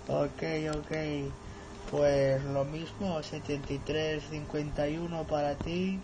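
A man's voice: a little high, flat delivery, takes it slowly. A teenage male, a novice radio operator, chatting casually on a radio contact. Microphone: camera filming the radio set in room. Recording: radio static and noise behind voice.

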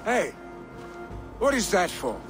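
A man's voice: sits high, sing-song, takes it slowly, music in the background, full character voice. A male actor playing a restless, roguish young Viking warrior in cinematic game dialogue, heartfelt and dramatic. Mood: earnest, restless.

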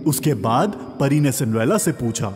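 A man's voice: medium pitch, lively intonation, darkish tone. A man narrating a scripted fairy tale for children as a storyteller. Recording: long reverb, music underneath.

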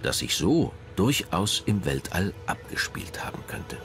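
A man narrating a scripted documentary in a measured, dramatic storytelling manner. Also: sits low, very animated, music in the background.

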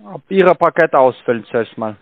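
Halting man with foreign accent, dull line. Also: fairly high pitch, animated, dry recording. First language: not German. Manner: halting, broken grammar, instructing. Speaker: call centre scam agent. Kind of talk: sales phone call. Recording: dull lo-fi phone call, dry.

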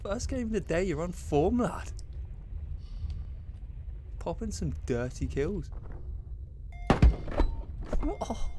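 Excitable British gamer, slightly croaky. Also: male voice, high-pitched, takes it slowly, long pauses, a little hiss or hum. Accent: British English. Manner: excitable, slangy, jumps between mutters and loud exclamations. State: slightly croaky after a gig. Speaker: gaming YouTuber. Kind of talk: casual gameplay commentary.

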